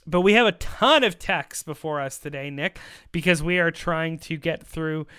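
A man's voice: fairly high pitch, moderate pace, bright, forceful tone, clean tone, no echo, studio quality. A man hosting a podcast, chatting casually in an upbeat, talkative way. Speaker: podcast host. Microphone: close microphone on a remote call.

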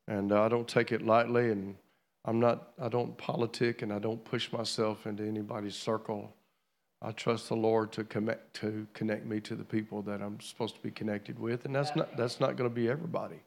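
A man's voice: medium pitch, creaky. A man, preaching from a church pulpit as a traveling minister in a folksy, joking way. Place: church sanctuary.

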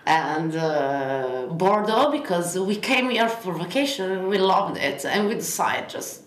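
A woman's voice: on the low side, animated, takes it slowly. A young woman from Italy, speaking English with an Italian accent as an interview guest, rambling through lists with many fillers. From Italy, Piedmont. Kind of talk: casual interview chat. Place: apartment in Bordeaux. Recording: roomy with faint noise.

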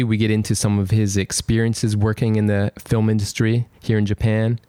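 A man hosting a podcast interview, chatty and relaxed, introducing his guest and asking questions. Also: fairly low pitch, dry recording. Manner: relaxed, chatty, uses fillers like "cool, cool". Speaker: podcast host.

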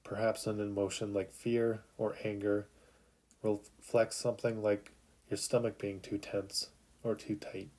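A man narrating a guided sleep meditation in a calm, soothing, unhurried way with long pauses. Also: fairly low pitch.

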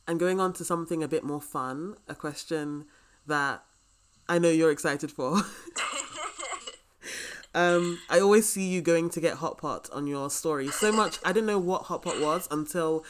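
A woman's voice: low-pitched, a little slow, slight background noise. A woman with a British accent hosts a podcast interview as a casual chat. She is informal and agreeable, speaking in an easy conversational register. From Britain.

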